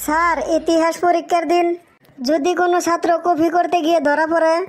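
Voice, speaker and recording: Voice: very high voice, level tone, brisk. A performer voicing a cheeky, naive schoolchild in a comic cartoon, pleading and earnest in manner.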